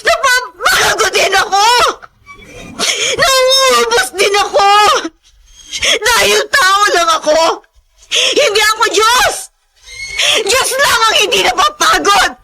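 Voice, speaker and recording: An exhausted Filipina elder sister in a radio melodrama. Her voice is very high and piercingly bright, thick with tears. She drags out her anguished, bitter pleas, close to shouting. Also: lively intonation, slow pace, creaky, long pauses, exaggerated voice, poor audio. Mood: furious, tearful. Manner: anguished, pleading, emphatic. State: crying. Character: exhausted, embittered elder sister and family breadwinner.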